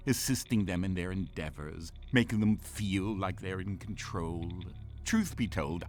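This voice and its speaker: An actor playing a pompous, condescending shipboard artificial intelligence in a game, theatrical and sarcastic. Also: male voice, deep voice. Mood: smug, measured. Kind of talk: scripted game voice pack acting.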